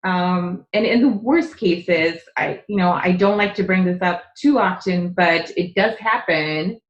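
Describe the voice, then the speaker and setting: Low-voiced woman on an echoey line. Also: unhurried, dull audio. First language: Czech. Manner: earnest, explanatory, with frequent fillers. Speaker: podcast guest. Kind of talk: conversational interview.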